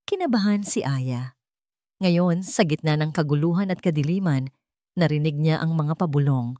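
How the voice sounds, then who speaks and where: Female Filipino storyteller for scripted drama narration; low register, dry recording. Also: expressive, moderate pace, mellow, no echo.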